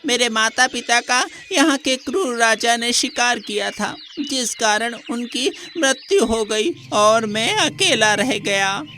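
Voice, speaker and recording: A woman's voice: fairly high pitch, slow pace, few pauses, bright, forceful tone, soft background music, voice pushed up. A woman voicing a small orphaned monkey child in a children's story, plaintive and earnest. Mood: sad. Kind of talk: children's moral story dialogue.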